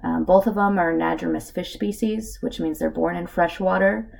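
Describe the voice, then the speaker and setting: A woman with a hoarse voice from a cold gives a casual narrated slideshow presentation, with lots of filler words. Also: fairly low pitch, fairly even intonation, normal speed, darkish tone. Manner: casual, with many filler ums. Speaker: student presenter.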